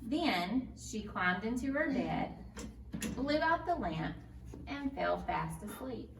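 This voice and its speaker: A woman storyteller reads a children's tale with slow pacing and a slightly high voice. The recording is clearly roomy, as if the mic were a little way off. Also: sing-song. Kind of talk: scripted children's read-aloud narration. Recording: roomy, distant mic, faint noise.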